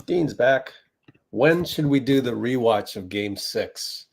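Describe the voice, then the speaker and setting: A man's voice: medium pitch, lively intonation, slow pace, gravelly, noisy background. A man hosting a casual livestream chat, talking in an offhand way while juggling tasks. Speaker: livestream host. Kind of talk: casual live-stream chat.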